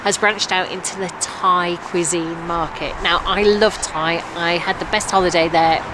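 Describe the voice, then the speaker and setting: A woman's voice: on the low side, steady tone, moderate pace, noisy background. A woman in her forties with an English accent, chatting and laughing with her husband for a vlog on a night out. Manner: chatty, laughs often, teasing. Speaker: vlogger co-host. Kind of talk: casual chat on a night out. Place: outdoors on town streets, then a busy restaurant. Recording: loud music and crowd behind.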